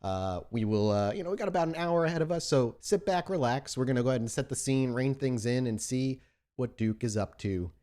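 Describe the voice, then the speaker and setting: Male podcast host, mid-pitched and highly expressive, loose and enthusiastic, chatting to listeners. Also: fairly quick. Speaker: host and storyteller of a tabletop roleplaying podcast. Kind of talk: casual podcast intro chat.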